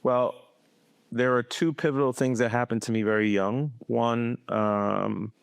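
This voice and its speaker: A man in his fifties from Boston, telling his life story as a podcast guest in a reflective, measured way. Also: mid-range voice, steady tone, vocal fry, smooth, no echo. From Boston, USA. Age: 50s. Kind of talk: casual conversational interview.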